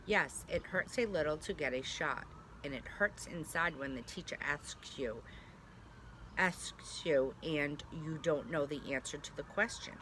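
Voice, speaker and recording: A woman's voice: low-pitched, a little slow, fairly bright tone, a little hiss or hum, poor audio. A woman pre-K teacher reading a picture book aloud to young children, speaking simply and directly to them with little check-in questions.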